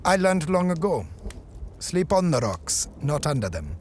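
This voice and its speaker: An actor playing a cryptic, solemn desert guide in an audio drama, with poetic, ominous phrasing. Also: male voice, fairly high pitch, big pitch swings, unhurried, slight background noise. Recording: loud outdoor ambience behind voice.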